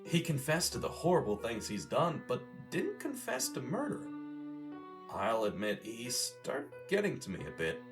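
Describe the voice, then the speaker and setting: An actor playing an earnest, righteous former journalist investigating a killing in a radio drama. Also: male voice, medium pitch, huge pitch swings, moderate pace. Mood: weary, determined. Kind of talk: audio drama acting in vintage radio manner. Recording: music laid under the voice. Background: plucked guitar music.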